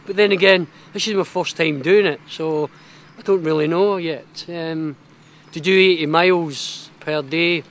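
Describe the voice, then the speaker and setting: High-voiced man, light and joking. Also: steady tone, measured pace, fairly bright tone. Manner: light, self-deprecating, laughs. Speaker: charity cyclist. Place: outdoors at an airbase.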